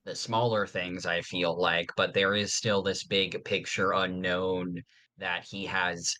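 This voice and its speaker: A podcast co-host with a very low-pitched voice that still sounds bright and crisp-edged. The delivery is informal and chatty, like fans talking over a favorite series. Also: little pitch movement, takes it slowly. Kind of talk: casual fan discussion podcast.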